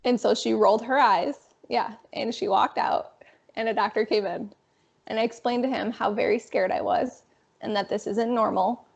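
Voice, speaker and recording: A young woman in her twenties with a raspy voice, a guest telling her story in a casual, animated interview. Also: on the high side, moderate pace. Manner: animated, frequent fillers. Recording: dull lo-fi sound.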